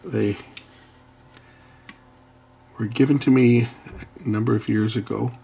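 A man's voice: mid-range voice, fairly even intonation, slow pace, vocal fry. A middle-aged man giving casual, blunt, slightly grumbling commentary while doing hands-on repair at an indoor workbench. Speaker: repair video host. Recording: faint background noise with clicking.

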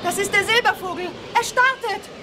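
A woman's voice: very high voice, normal speed, background noise. An actress playing an anxious young female adventurer in an audio drama, urgent in manner. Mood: frightened. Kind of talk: German audio drama acting.

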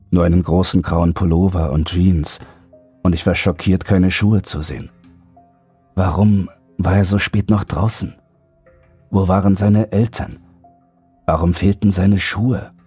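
A man's voice: steady tone, measured pace, pauses often, faint music behind. A man reading a scripted first-person horror story as an audiobook narrator. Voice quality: deep, husky, mellow.

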